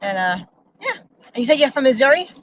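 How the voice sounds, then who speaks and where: A woman's voice: high voice, sing-song, fast, highs cut off. A giggly, chatty woman from New York talking casually on a livestream. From New York, the Bronx and Long Island. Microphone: internet video call. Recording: noisy internet call with clicks.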